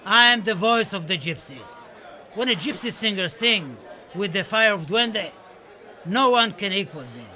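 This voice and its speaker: High-voiced, impassioned Spanish poet, acted by a man. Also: expressive, unhurried, sharp tone, a faint crowd, heavily acted. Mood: fervent. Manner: impassioned, declamatory, dramatic. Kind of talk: theatrical radio-play monologue and poetry recital. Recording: music under the voice. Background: music.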